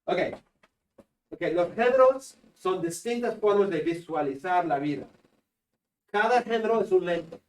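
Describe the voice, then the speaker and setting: A man with a northern Peruvian Spanish accent giving a seminary class lecture, joking with students and pausing on fillers. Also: high-pitched, animated, darkish tone. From Peru. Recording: echoey classroom from a distance.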